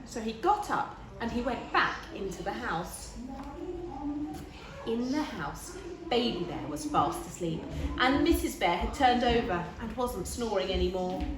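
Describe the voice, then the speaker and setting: Slow, high-voiced woman reading a children's story. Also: crowd noise. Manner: deliberate, animated storytelling. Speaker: story reader. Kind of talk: expressive children's storybook narration. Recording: echoey room.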